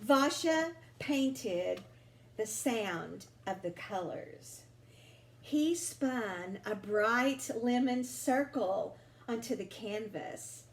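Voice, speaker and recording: A woman reading a children's picture book aloud expressively, drawing out words with deliberate, dramatic phrasing. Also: a little high, expressive. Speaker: storybook reader. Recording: roomy home recording with faint noise.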